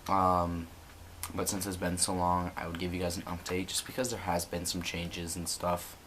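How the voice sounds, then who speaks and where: Low-voiced young man, rambling vlog. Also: normal speed, a little hiss or hum. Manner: relaxed, rambling, many ums and likes. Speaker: vlogger. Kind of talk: casual vlog update. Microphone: built-in computer camera mic.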